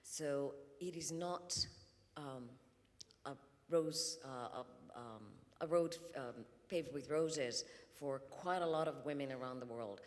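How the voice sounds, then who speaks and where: A woman from Greece speaking as a conference panelist, measured and thoughtful, with frequent ums. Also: extremely low pitch, a little mellow. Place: conference hall. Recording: long reverb in a conference hall.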